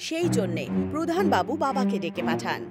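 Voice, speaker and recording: A woman's voice: medium pitch, very animated, a little fast. A woman delivering scripted narration for a horror story. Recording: loud music bed under voice.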